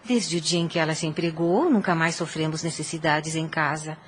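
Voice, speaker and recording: A woman playing a frail, courteous middle-aged mother in an audio drama. She speaks politely and formally in a slightly low voice, with faint ambient noise behind. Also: fairly even intonation, fairly quick, close to an everyday voice. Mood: reassuring.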